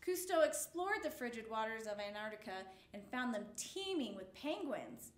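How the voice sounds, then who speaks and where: High-voiced woman reading a children's story. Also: big pitch swings, normal speed, roomy sound. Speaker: storyteller reading a picture book aloud. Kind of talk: children's storytime read-aloud.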